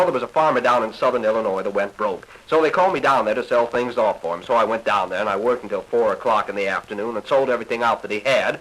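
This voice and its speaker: Animated, fast-talking American man on vintage record. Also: mid-range voice, rough recording. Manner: folksy, crude, conversational storytelling. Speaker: comedian telling bawdy stories. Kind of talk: 1940s comic monologue. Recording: old 1940s 78 rpm record.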